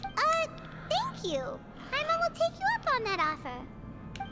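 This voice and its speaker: A woman voicing a tiny, eager, childlike fairy companion in a video game, cute and squeaky. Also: wildly swooping pitch, slow, exaggerated voice. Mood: eager, content. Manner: squeaky, cute, speaks in third person. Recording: music behind the voice.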